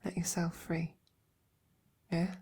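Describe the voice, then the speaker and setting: A woman playing a devoted, tender and teasing female knight in intimate ASMR roleplay, speaking softly and haltingly, with affection, in a breathy, often whispered voice. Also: fairly low pitch, flat delivery, full character voice. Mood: tender, reassuring.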